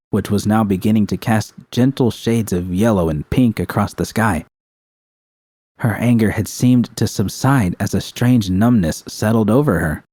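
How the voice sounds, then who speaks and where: A man's voice: fairly low pitch, a little mellow, no echo. A man reading scripted narration from a story as an audiobook narrator. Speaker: narrator of a fan fiction audiobook.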